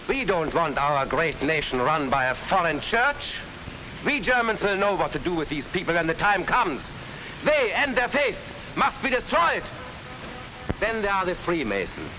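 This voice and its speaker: An actor playing a hateful, rabble-rousing Nazi orator, declaiming a political speech in a 1940s film. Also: male voice, high voice. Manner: declamatory, demagogic. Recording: noisy, dull 1940s film soundtrack.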